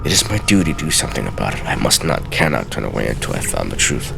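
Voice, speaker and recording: A man giving let's play game commentary and dramatically reading in-game texts aloud in a theatrical, deliberate way. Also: extremely low pitch, big pitch swings, gravelly, noisy background.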